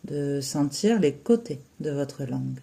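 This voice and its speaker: Slow, mellow-voiced guided relaxation teacher. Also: mid-range voice, expressive, vocal fry. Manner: soothing, unhurried, with long pauses between short phrases. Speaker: yoga nidra teacher.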